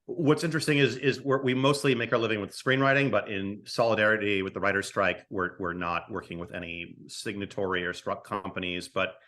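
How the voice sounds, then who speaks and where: A man's voice: on the low side, fairly even intonation, brisk, hardly any pauses, a bit bright. A man speaking as a writer guest in a casual interview, hesitant and often repeating words as he forms his thoughts. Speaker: podcast guest, screenwriter and audio drama writer.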